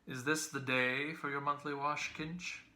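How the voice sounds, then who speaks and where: Guy doing a booming young irish med student from a novel, teasing and grand, bright voice in an echoey room. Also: fairly even intonation, unhurried, slight background noise. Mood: jovial, grandiose. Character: loud, mocking, theatrical young Irish medical student. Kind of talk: literary audiobook character reading.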